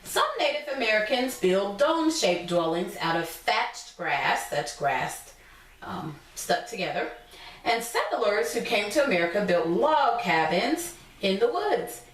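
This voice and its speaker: A woman teacher reading a picture book aloud to young children, pausing to explain things simply in her own words. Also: mid-range voice, very animated, fairly bright tone. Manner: instructive, repeats and explains points simply. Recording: echoey room, distant from mic.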